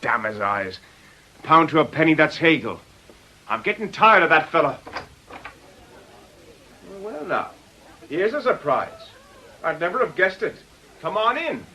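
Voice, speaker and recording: A man's voice: fairly high pitch, big pitch swings, a little slow, pauses often, pushed and bright, heavily acted, low-quality recording. An actor playing a sly, blustering man from a shady club, cajoling and mocking in colloquial radio drama speech. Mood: mock-genial.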